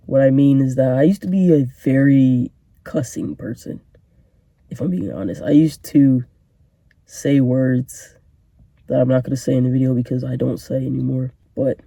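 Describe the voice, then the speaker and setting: Congested young man, dark mellow voice. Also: medium pitch, dry recording. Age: young adult. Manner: earnest motivational, joking asides. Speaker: podcast host. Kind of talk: casual solo podcast talk.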